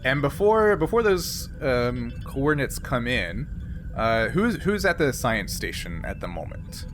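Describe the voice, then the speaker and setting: A man with a mid-range voice runs a tabletop game, improvising narration for his players. Music plays clearly behind him. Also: medium pitch, sing-song, unhurried, fairly bright tone. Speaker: game master.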